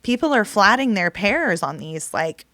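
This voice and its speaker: Texan woman, young, podcast co-host, lively and laughing, dry close mic. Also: mid-range voice, moderate pace, no room sound. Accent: Texas. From Texas. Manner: lively, laughing, swears casually. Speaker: podcast co-host, poker player. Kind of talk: casual chat.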